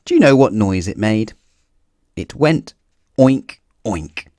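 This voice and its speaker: A man reading a children's story aloud in an expressive narrating style. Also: lowish voice, takes it slowly, no room sound.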